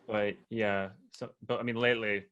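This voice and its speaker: A man's voice: lowish voice, flat delivery, normal speed, a bit bright, clear, resonant tone, highs cut off. A young man who grew up in Estonia, chatting casually on a call, hesitant with many fillers and restarts. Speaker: artist in a collaboration. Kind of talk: casual video-call chat. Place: apartment in a quiet block.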